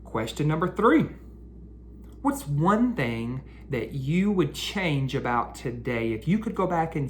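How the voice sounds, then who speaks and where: A man hosts a children's read-aloud at an unhurried pace, with a medium-pitched voice. He speaks straight to his young listeners, posing questions and stretching words for emphasis. There is clear background noise on the recording. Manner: addresses children directly with questions, drawn-out emphasis. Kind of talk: children's picture-book read-aloud and chat.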